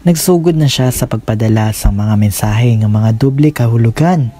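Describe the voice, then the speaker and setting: A man's voice: mid-range voice, moderate pace, mellow. A man with a Filipino accent reading scripted narration as the host of a radio drama. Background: faint music.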